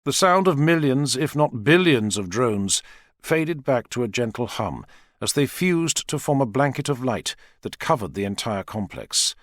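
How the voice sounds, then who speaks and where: A man narrating dramatic fiction in a measured, expressive storytelling manner. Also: medium pitch, dry recording, clean studio sound. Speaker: audiobook narrator.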